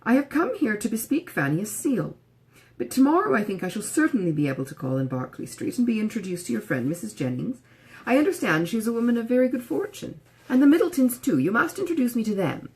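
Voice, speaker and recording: Audiobook role: pompous, effusive gentleman voiced by a woman. Over-polite, gushing, smug, highly animated intonation. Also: quick pace. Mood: breezy, self-important. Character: pompous, effusive, self-satisfied gentleman brother. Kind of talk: audiobook character dialogue.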